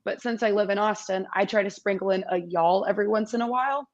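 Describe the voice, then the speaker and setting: A woman in her twenties with a slightly raspy voice, chatting upbeat as a guest in an interview over a video call. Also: medium pitch, quick pace, lo-fi sound. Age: 20s. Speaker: competition MC, guest. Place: small indoor room.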